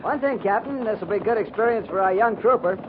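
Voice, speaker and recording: Man as high-voiced, earnest cavalry lieutenant. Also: fast talker, narrow, tinny audio, natural voice. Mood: eager, respectful. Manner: respectful, military, earnest. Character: earnest, dutiful young cavalry lieutenant, eager. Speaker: radio drama actor. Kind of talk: 1950s radio western acting. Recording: noisy, thin 1950s radio recording.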